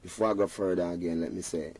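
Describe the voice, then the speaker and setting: A man's voice: low voice, steady tone, quick pace, darkish tone, breathy edge, dry recording. A Jamaican man, a reggae singer being interviewed, talking in an earnest, rambling way with patois phrasing. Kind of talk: casual interview talk.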